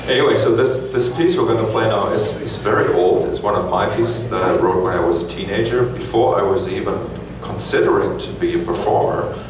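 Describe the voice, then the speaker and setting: A man's voice: on the low side, brisk, hardly any pauses, noisy background. A man from Germany with a German accent, talking to a concert audience between songs in a rambling, self-mocking way with many restarts. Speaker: musician addressing a concert audience. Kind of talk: casual stage banter between songs. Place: live music club, on stage. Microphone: stage vocal mic through the venue PA. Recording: distant, echoey club recording with crowd.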